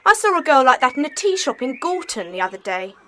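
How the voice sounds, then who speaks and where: A performer playing a busy, frank young British stable lad in an audio drama, brisk and matter-of-fact. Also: sits high, very animated, bright, forceful tone, slight background noise, exaggerated voice. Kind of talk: children's audio drama acting.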